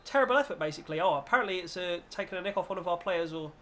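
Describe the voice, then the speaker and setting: A man's voice: high-pitched, steady tone, racing, sharp tone. A man hosting a gaming video with casual, chatty football commentary in informal British slang, slightly nasal from a cold.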